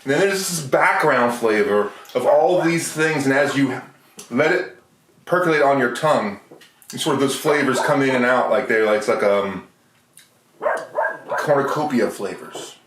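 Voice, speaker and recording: A man's voice: mid-range voice, moderate pace. A man co-hosting a podcast, chatting casually and jokily in a rambling way over a beer tasting. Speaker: podcast co-host. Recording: roomy, distant mic, clear background noise.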